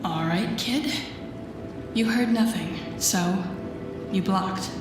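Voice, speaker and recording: Woman acting a guarded intense villainess in an audio roleplay, slow n deliberate, breathy, echoey with music under it. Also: mid-range voice. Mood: flustered, curt. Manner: slow, deliberate, often dropping into breathy near-whispers. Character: female telepathic villain, guarded, intense, secretly tender mentor. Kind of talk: intimate audio roleplay acting addressed to the listener. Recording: roomy echo with music behind.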